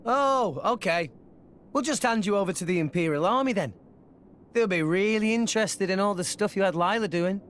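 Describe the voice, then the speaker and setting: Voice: mid-range voice, moderate pace, lightly acted. An actor playing an earnest, brave teenage boy adventurer in a video game cutscene. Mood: cocky. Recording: loud music behind the voice.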